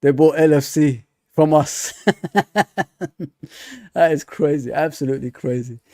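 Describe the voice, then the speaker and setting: A man's voice: on the high side, fairly even intonation, a little slow, mellow, dry recording, studio quality. A man hosting a casual fan livestream, laughing often and reading viewer comments aloud.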